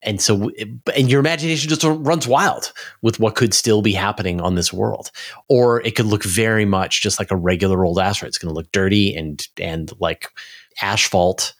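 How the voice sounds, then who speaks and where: A man's voice: on the low side, moderate pace. A man speaking as a podcast guest, explaining space science in an enthusiastic, informal conversational way.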